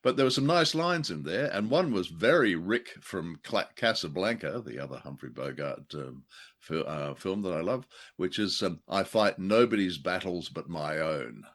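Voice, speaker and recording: A man's voice: sits low, expressive, normal speed, a bit bright. A man co-hosting a casual review chat, talking in a wry, jokey way with wordy asides. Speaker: podcast co-host. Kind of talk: casual review chat about an old radio play.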